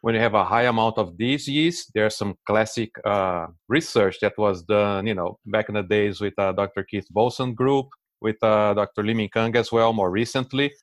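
A man's voice: fairly low pitch, steady tone, normal speed, no echo. Brazilian-accented man explaining things, dry sound. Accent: Brazilian Portuguese. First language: Portuguese. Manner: explanatory, with frequent fillers and restarts. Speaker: guest expert. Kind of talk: casual interview.

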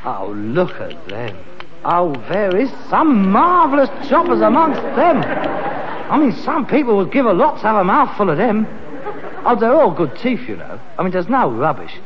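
An actor playing a boastful, exasperated son who deals in rag and bone, emphatic and cajoling, with a working-class London accent in a British sitcom. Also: male voice, high-pitched, huge pitch swings, normal speed, some chatter behind. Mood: cajoling salesman. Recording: muffled, reverberant, hissy vintage sitcom recording.

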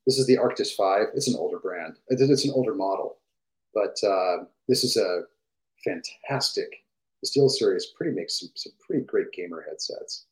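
A middle-aged man with a fairly deep voice, chatting enthusiastically as a podcast guest through a gaming headset, with fillers and restarts. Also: lively intonation, moderate pace, low-quality recording. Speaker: guest, game industry executive. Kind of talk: casual podcast chat.

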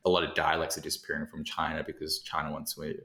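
A man's voice: extremely low pitch, monotone, fast, vocal fry, pushed and bright. A man in casual conversation as a podcast co-host, reflective and thinking aloud with fillers. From Australia. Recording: roomy echo.

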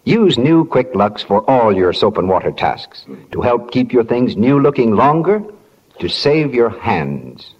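Male vintage radio pitchman, animated intonation, husky medium voice, muffled old recording. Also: a little slow. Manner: polished sales pitch. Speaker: radio announcer. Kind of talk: 1940s radio advertising announcement.

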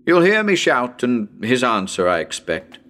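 A man's voice: medium pitch, very animated, moderate pace, fairly bright tone. A man playing a nervous radio broadcaster on a ghost hunt in a dramatic reading, talking to listeners in a chatty, hesitant, uneasy way. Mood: uneasy, curious. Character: nervous male radio broadcaster ghost hunting in haunted house.

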